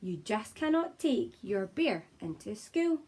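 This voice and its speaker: A woman primary teacher with a slightly husky voice, talking to young children and reading a picture book aloud in an expressive, encouraging way. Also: mid-range voice, measured pace. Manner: expressive, encouraging, simple address to small children. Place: child's room at home, indoors.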